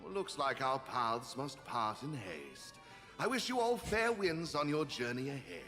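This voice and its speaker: A man's voice: mid-range voice, big pitch swings, unhurried, pushed and bright, big-room sound, soft background music. A man voicing a wry male trickster in a video game with a flowery, teasing, genteel manner. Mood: courtly, cryptic.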